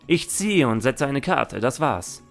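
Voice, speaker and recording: A man's voice: mid-range voice, big pitch swings, unhurried, creaky, soft background music. A man playing a confident, defiant young duelist with a dragon deck in an anime fan audio drama.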